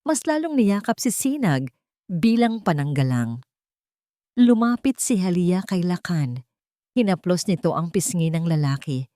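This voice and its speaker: A woman's voice: fairly low pitch, very animated, a little slow, mellow, no room sound, studio quality. A woman narrating a scripted romance audio drama in a dramatic storytelling style.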